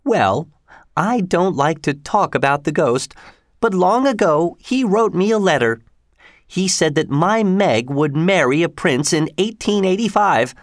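A proud older theater lady, played by a male narrator, speaks high and swooping. She is emphatic and easily flustered, like a character from a storybook reading, in a dry close recording. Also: animated, measured pace, no echo. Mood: polite, earnest. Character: indignant older French woman, proud theater attendant.

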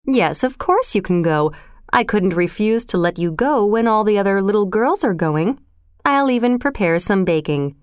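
Woman voicing a stern, clear-voiced woman guardian. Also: medium pitch, animated, no room sound, clean studio sound, close to an everyday voice. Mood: kindly. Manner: firm, measured, reproving. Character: stern, sensible, restrained woman guardian of a girl. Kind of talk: storybook character voice.

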